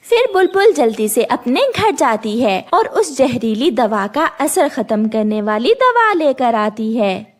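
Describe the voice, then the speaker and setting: A woman's voice: a little high, very animated, measured pace. A woman narrating a scripted children's story.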